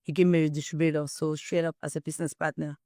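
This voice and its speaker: A woman's voice: deep voice, flat delivery, fast, mellow, no echo, clean studio sound. A middle-aged woman from Cameroon, a guest in an interview, telling her personal story in an earnest, testimonial way. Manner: earnest, testimonial, quotes herself often. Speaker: guest, wellness distributor.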